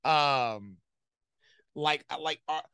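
Male interviewer, casual and halting, smooth clear voice, dry close sound. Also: on the high side, bright, forceful tone, no echo. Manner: hesitant, many fillers.

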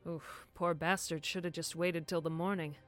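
A woman playing a confident police detective in an audio drama, brisk and commanding. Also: on the low side, fairly even intonation, soft background music, natural voice. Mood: businesslike.

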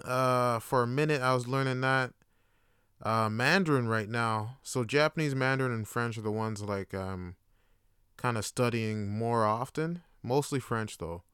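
A young man hosting his own podcast, talking in a casual, slangy monologue full of animated jokes and asides. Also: medium pitch, a little slow, clear, resonant tone, dry recording, studio quality. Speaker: podcast host.

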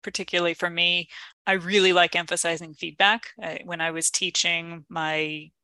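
A woman's voice: on the low side, flat delivery, moderate pace, sharp tone, no room sound. A woman co-hosting an educational podcast, discussing teaching in a supportive, reflective and articulate conversational way.

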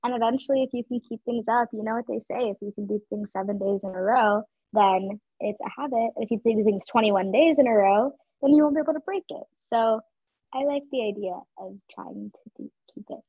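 A young woman from Georgia, co-hosting a podcast in a bubbly, excitable casual chat. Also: on the high side, a little fast, breathy edge, dry recording, faint music behind. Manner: bubbly, excitable, talks with lots of fillers. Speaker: podcast co-host. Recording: dull lo-fi sound.

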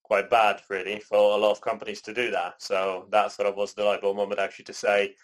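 Guy with a lowish monotone voice, rambling podcast chat, lots of filler. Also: fairly quick. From born in Poland, raised in Canada. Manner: rambling, lots of "you know" and "sort of". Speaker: podcast co-host. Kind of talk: casual chat.

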